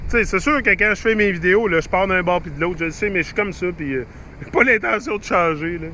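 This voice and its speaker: Man, Québécois French accent, rambling vlog talk, restarts and fillers, bright tense tone, faint outdoor ambience. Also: high-pitched, fast talker, slight background noise. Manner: rambling, chatty, frequent fillers and restarts, mixes in English phrases. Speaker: YouTuber. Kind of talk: rambling casual monologue to viewers.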